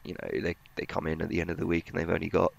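A man giving conversational market analysis as a financial journalist, in a hesitant, halting way. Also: sits low, completely flat delivery, gravelly.

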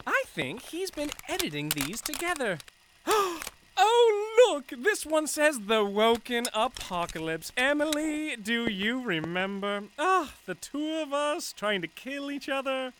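A woman's voice: high voice, big pitch swings, slow pace, full character voice. A woman playing an obsessive, impassioned reanimator scientist in an audio drama, with faint noise. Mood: delighted, nostalgic.

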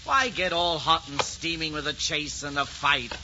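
An actor playing an easygoing, practical French royal guard in a 1940s radio drama. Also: male voice, a little high, moderate pace, close to an everyday voice. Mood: unbothered. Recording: very noisy, muffled 1940s radio recording.

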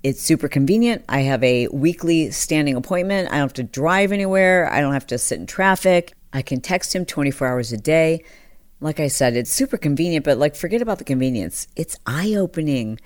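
Woman with a really low deep voice, chatty podcast co-host, lots of likes and fillers. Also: animated, fairly quick. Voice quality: deep voice from a partly paralysed vocal cord. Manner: chatty and candid, uses many fillers. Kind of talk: casual chat.

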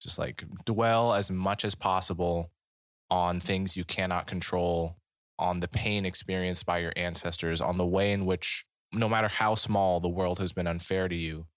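A man hosting an interview, asking long, deliberate questions at a measured pace. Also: deep voice, steady tone, a bit bright, dry recording, clean studio sound. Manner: measured, deliberate, reads aloud slowly. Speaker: podcast host.

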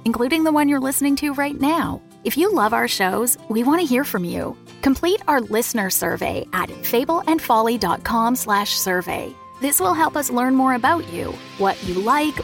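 A female announcer with a slightly high voice delivers a scripted promotional message. Faint music plays behind her. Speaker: podcast network announcer.

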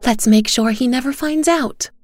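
A woman's voice: sits high, normal speed, no room sound, soft background music, pitched above the performer's own voice, close to an everyday voice. A woman voicing an impatient, wary female companion in a storybook reading. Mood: urgent. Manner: urgent, then hushed.

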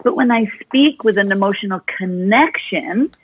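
Female vocal coach guest, animated and demonstrative, husky tone, dry tinny phone-line sound. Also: mid-range voice, normal speed, no echo. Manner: expressive, talks with her hands.

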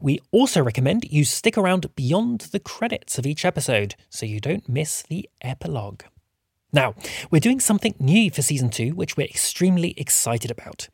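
A man's voice: on the high side, big pitch swings, normal speed, vocal fry, slightly rough, dry recording, clean studio sound. A man hosting a podcast, reading scripted announcements in an upbeat, welcoming manner. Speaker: podcast host.

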